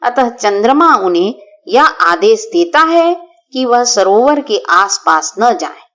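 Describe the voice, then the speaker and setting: A woman voicing an old, wise, worried male rabbit in a children's story, giving earnest and measured advice to his kin. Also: on the high side, sing-song, fairly bright tone, dull audio, heavily acted. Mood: worried, advising.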